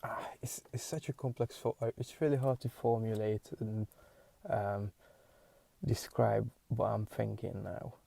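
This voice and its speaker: A young man with a Hungarian accent, a podcast host thinking aloud in a halting, searching way with many restarts. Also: on the low side, little pitch movement, vocal fry, warm tone, no echo. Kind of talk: solo musing monologue.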